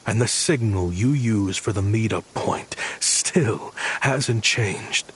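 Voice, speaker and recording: An actor playing a cold, vengeful former partner in a game cutscene, speaking slowly with quiet menace over faint music. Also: male voice, sits low, a little hiss or hum, full character voice. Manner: slow, measured, quietly menacing.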